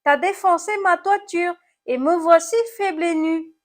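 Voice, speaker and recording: Woman as an indignant, high-voiced little snail. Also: normal speed. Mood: indignant, wailing. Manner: indignant, moaning. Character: small horned snail, indignant, moaning, feeble victim.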